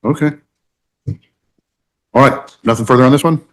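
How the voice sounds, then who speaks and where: A man speaking as a judge in a courtroom discussion, thinking aloud in a halting, deliberative way with false starts. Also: medium pitch. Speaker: judge presiding over a court hearing.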